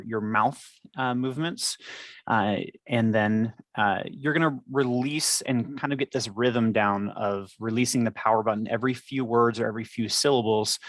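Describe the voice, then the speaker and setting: A man's voice: mid-range voice, no room sound. A man explaining how to use a device step by step in an instructional presentation.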